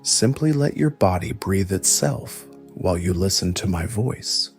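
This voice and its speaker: A man narrating a scripted guided meditation, giving gentle instructions in a soothing, measured way. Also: low-pitched, expressive, creaky, faint music behind.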